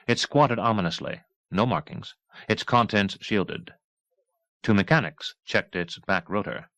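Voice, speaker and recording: A man's voice: lowish voice, a little slow, fairly bright tone, dry recording. A man reading a novel aloud as a scripted audiobook narration. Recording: 1980s cassette audiobook.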